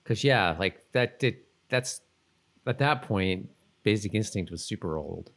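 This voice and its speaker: A man with a fairly low voice co-hosts a casual podcast. He rambles through his points in an informal, hedging way, now and then reading notes aloud. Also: expressive, measured pace, a bit bright. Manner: rambling, hedging, often saying "like". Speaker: podcast co-host. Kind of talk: casual chat about a TV episode, reading trivia aloud.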